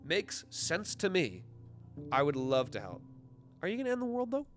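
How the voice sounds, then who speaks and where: A man's voice: fairly high pitch, huge pitch swings, moderate pace, faint music behind. A man live-streaming a video game with casual, sweary, irreverent commentary.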